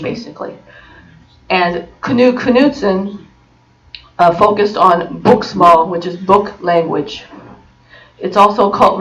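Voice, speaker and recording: American woman presenter, middle pitch, halting informal delivery, distant lo-fi recording. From United States. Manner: halting, many fillers and restarts. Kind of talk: informal talk. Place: conference room. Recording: dull recording from far off.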